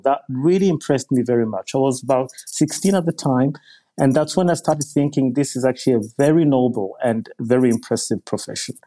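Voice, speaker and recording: A man, a physician guest on a podcast, explaining in a measured way with small hesitations. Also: medium pitch, mellow. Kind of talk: podcast interview answer.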